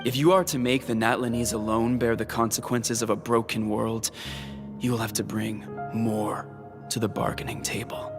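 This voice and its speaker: A man's voice: medium pitch, fairly even intonation, moderate pace, over music, close to an everyday voice. A man voicing a proud, resolute heroic leader in an anime-style video game, grave and determined. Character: resolute, proud male hero leader bargaining for power.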